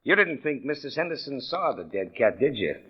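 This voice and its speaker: Actor as a wry police captain, swooping intonation. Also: male voice, mid-range voice, big pitch swings, brisk, a little hiss or hum, natural voice. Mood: wry, probing. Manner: questioning, dryly ironic, insinuating. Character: shrewd, wry, probing male police captain. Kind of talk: 1940s radio mystery acting. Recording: dull old radio recording.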